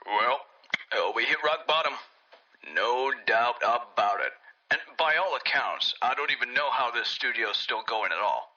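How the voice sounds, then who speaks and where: Exasperated bookkeeper, middle pitch, hesitant, plain-spoken; dull game tape. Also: male voice, lively intonation, a little slow, pushed and bright. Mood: baffled. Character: baffled, uneasy, plain-spoken male company accountant. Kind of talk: audio log in a cartoon horror video game.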